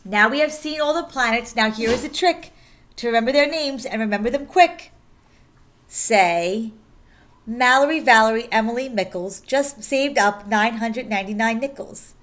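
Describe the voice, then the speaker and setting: A woman teacher reading a rhyming children's picture book aloud in an animated, sing-song way, stressing key words. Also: on the high side, normal speed.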